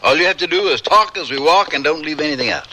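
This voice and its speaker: Breezy, animated western newspaperman. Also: a little high, quick pace, a little hiss or hum, rough recording. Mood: wry. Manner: folksy, glib, wry. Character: breezy, nosy, wry frontier town newspaper reporter. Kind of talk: old-time radio western acting.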